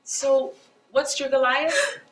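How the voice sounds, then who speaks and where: Stage drama: patient female confidant, slightly high voice, encouraging, roomy distant sound. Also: very animated, slow, a bit bright, heavily acted. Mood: gently probing. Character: patient, encouraging confidant who urges people on. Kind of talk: stage play acting. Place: theater stage. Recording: echoey, distant, noisy stage pickup.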